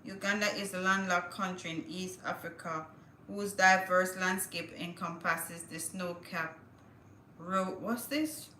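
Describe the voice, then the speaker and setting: A woman's voice: fairly low pitch, steady tone, measured pace, bright, forceful tone, echoey room, slight background noise, low-quality recording. A woman hosting a casual livestream, chatting to viewers and reading news aloud with small stumbles. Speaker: livestream host.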